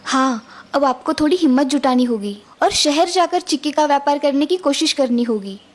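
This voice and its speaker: A woman voicing a supportive, calming young village wife, gentle and encouraging, in a cartoon story. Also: sits high, normal speed. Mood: soothing.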